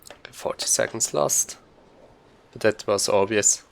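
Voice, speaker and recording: Male gamer, low gravelly voice, casual think-aloud speedrun commentary, some keyboard noise behind. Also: unhurried.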